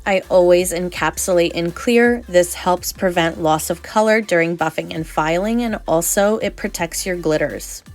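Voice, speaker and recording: A woman's voice: on the low side, normal speed, hardly any pauses, faint music behind. A young adult woman narrating a nail tutorial voice-over in a chatty, explanatory way, sounding slightly nasal from a cold.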